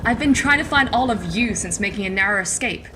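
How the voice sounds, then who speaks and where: A tough, confident commander in an audio drama, played by a woman. Her medium-pitched voice is brisk and sure. Noisy effects are clearly heard behind her. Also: lively intonation, close to an everyday voice. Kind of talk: superhero radio play acting.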